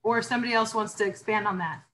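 A woman teaching an online class in a low, powerful voice. She gets emphatic and animated as she talks through theory. A faint hiss of noise sits under her. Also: racing. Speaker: instructor.

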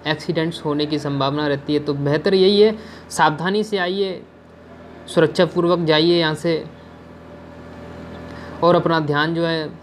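A man's voice: fairly high pitch, a little hiss or hum. Young man narrating a hillside trip. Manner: chatty, addresses viewers, gives safety advice. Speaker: travel vlogger. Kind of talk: narrated travel vlog. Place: outdoors on a hill road.

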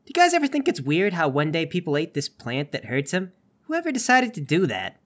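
Casting: male gaming podcaster, animated, slightly high-pitched, easy comic banter. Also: fairly quick. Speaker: podcast player.

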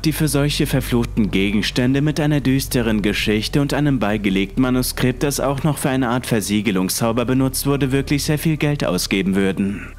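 A man with a mid-pitched voice tells a dark story in a calm, measured way. Faint music plays softly underneath his narration. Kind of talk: scripted horror narration.

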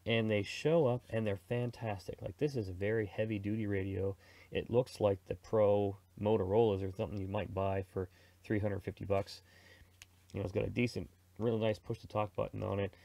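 A man's voice: on the low side, fairly even intonation, a little fast, vocal fry, dark tone, no echo. A middle-aged man giving a casual, rambling product review and how-to talk, in an informal conversational way. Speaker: radio reviewer and presenter.

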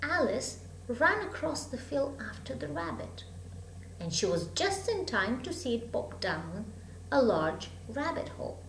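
Woman storyteller, high and very lively, dramatic phrasing for children's fiction; homemade echoey recording. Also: measured pace. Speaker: storybook reader. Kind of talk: expressive children's story reading. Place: room at home with bookshelves. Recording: echoey room, hum and background noise.

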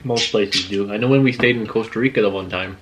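A man, chatting in a relaxed, teasing way over dinner. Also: on the low side, fast, a little hiss or hum, low-quality recording. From Alberta, Canada. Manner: laid-back, teasing. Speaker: co-host vlogger. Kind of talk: mukbang chat. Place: living room at a dinner table. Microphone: camera across the table.

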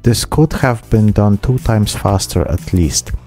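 A man in his thirties hosts a hobby painting vlog. His voice sits fairly low and has a very mellow, soft-edged tone. Faint music plays behind him. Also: measured pace. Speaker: hobby channel host. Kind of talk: miniature painting vlog narration.

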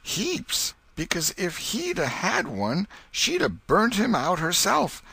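Man putting on a cheeky, high-pitched country boy. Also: wildly swooping pitch, slow pace, vocal fry, sharp tone, breathy edge, no room sound, exaggerated voice. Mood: defiant. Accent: rustic American. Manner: playful mock innocence. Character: mischievous, cheeky young boy with folksy speech.

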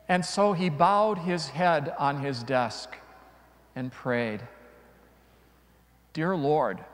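A middle-aged male priest delivers a homily in a slightly high voice. He speaks very slowly and deliberately, pausing for dramatic effect as he preaches. The long reverb of a large church surrounds his words. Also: a bit bright. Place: large church, before a congregation.

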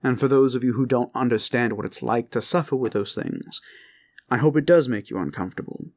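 A man's voice: medium pitch, a little fast, a little mellow. A man speaking informally and earnestly to his listeners as an audio creator, in a reassuring way. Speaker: audio roleplay creator and host. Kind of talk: informal heartfelt address to listeners.

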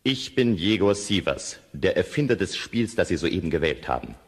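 Low, husky visionary inventor in reverb. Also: male voice, very animated, moderate pace, full character voice. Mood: grandiose, fervent. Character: visionary male game inventor, grandiose, eager promoter. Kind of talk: sci-fi radio drama acting. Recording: long hall reverb.

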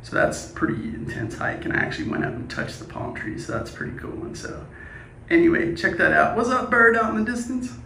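A man in his forties, a spoken word poet, chatting casually and reciting rhymes on a hiking trail, relaxed and upbeat. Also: high-pitched, a little fast, creaky, reverberant, slight background noise. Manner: upbeat, laid-back, laughs easily. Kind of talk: casual vlog chat and rhyming poetry.

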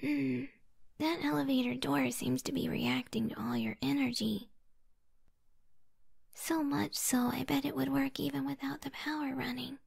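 A woman playing a creepy, lonely young occultist who loves ghosts, whispering slowly and coaxingly in an ASMR roleplay. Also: high voice, fairly even intonation, darkish tone, full character voice. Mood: eerie, teasing. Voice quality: breathy, raspy whisper.

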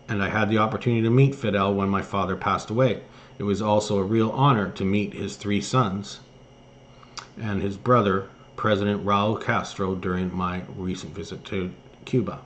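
A man hosting an online news commentary, reading articles aloud and remarking on them somewhat haltingly. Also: lowish voice, fairly bright tone. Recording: noticeable background noise.

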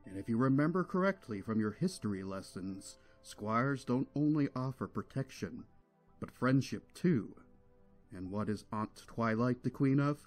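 A man voicing a gentle, protective pony king and father in a cartoon, patient and reassuring. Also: mid-range voice, animated, a little slow, soft background music, natural voice.

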